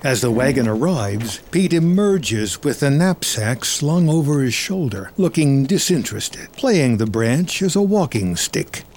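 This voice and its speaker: A man's voice: medium pitch, very animated, a little slow, few pauses, a little mellow, slight background noise. A man reading scripted screenplay narration as the narrator of a drama. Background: music.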